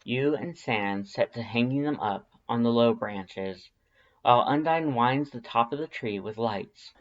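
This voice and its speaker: Male narrator, medium pitch, expressive scripted storytelling, fan fiction audiobook, lo-fi muffled sound. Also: measured pace.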